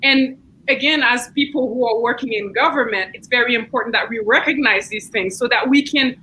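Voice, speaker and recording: A woman's voice: a little high, brisk, pushed and bright, a little hiss or hum, low-quality recording. A woman with a Haitian accent, a city council member speaking earnestly and thoughtfully in an online book discussion, often restarting her sentences. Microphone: video call.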